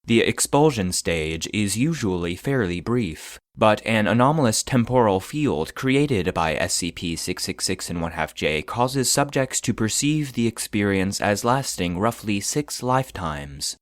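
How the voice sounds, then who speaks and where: A man reading scripted narration in the style of a scientific report, formal and deadpan. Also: on the low side, measured pace, few pauses, no room sound, clean studio sound.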